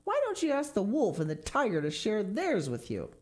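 A woman voicing a greedy, selfish little boy who answers every request in a shrill, indignant, snorting tone in a read-aloud story. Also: sing-song, brisk, a little mellow. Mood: furious.

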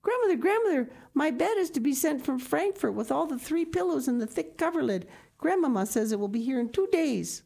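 A woman reads as a joyful little girl, her voice pitched well up and bright with eagerness. The words tumble out quickly and breathlessly in this storybook telling. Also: expressive, gravelly. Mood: excited.

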